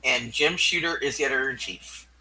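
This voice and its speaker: A casual cast member on a live show, with a bright, crisp-edged voice at a middling pitch. There is faint noise behind the voice. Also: brisk, poor audio. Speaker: cast member of a comic book live reading.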